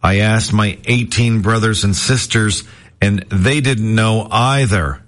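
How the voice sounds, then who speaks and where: A man chatting on morning radio, his voice sitting low and worn rough from weeks of overuse. He rambles a little and makes fun of himself as he goes. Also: takes it slowly, fairly bright tone, slight background noise. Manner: rambling, self-deprecating, chuckling. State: voice deeper and strained from overuse. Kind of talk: casual morning radio chat.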